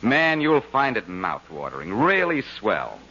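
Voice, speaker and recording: A male commercial announcer sells in a vintage radio style. His voice is bright and husky, with folksy, animated emphasis, on a dull old recording. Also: fairly low pitch, a little slow. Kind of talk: 1940s radio advertising pitch.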